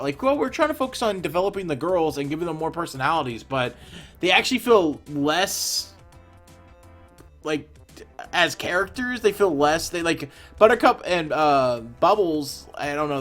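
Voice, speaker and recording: A man's voice: a little high, a bit bright. A young man chatting casually as he animates, rambling and opinionated with lots of fillers and restarts. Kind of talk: casual commentary while animating.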